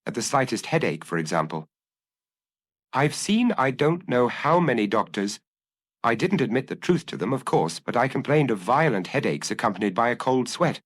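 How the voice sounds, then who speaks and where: A man's voice: medium pitch, very animated, moderate pace, no room sound. Man reading as an anguished, confessing killer, widely swinging voice. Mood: anxious. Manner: earnest, self-analyzing, confessional. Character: anguished young male killer confessing to his compulsion. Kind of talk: dramatic audiobook reading.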